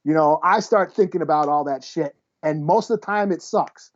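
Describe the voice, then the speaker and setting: A man's voice: a little high, moderate pace, highs cut off. A man co-hosting a podcast, chatting casually in a blunt, profane way. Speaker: podcast co-host. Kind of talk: casual chat.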